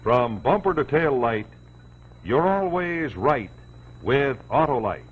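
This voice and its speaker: A man's voice: mid-range voice, very animated, takes it slowly. A man announcing in the style of a 1940s television broadcast and commercial, on an old dull soundtrack. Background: music.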